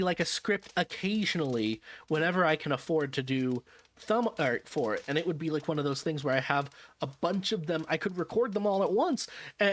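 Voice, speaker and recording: Tired, rambling male streamer. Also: on the high side. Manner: rambling, self-correcting, wry. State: tired, jet-lagged. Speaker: vtuber streamer. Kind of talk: casual stream chat.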